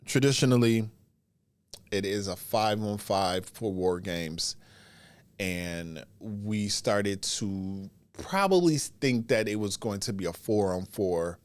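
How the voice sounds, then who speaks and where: A man hosting a wrestling podcast in casual solo commentary, sounding stuffy and congested as he gets over a cold, and talking in drawn-out phrases with pauses. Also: fairly low pitch, no echo.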